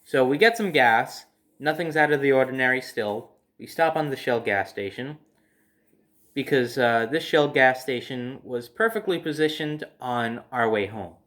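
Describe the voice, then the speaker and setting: A man's voice: mid-range voice, expressive, normal speed, fairly bright tone. An American man telling a personal story casually, in a rambling way, circling back over details.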